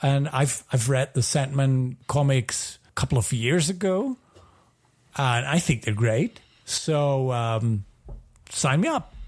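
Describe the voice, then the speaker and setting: Slow-paced man chatting on a podcast. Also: medium pitch, big pitch swings, darkish tone. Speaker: podcast co-host. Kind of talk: casual podcast talk.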